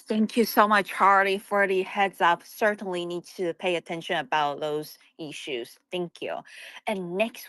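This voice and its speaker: A woman's voice: deep voice, fairly bright tone, dry recording. A woman moderating a panel formally, politely thanking and inviting each guest to speak. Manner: polite, courteous. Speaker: panel host.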